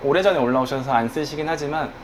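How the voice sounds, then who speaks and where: A man's voice: on the high side, fast talker, slight background noise. A man in his thirties, a guest on a talk show, speaking earnestly and reflectively about his work. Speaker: guest, online community manager. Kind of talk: casual conversation.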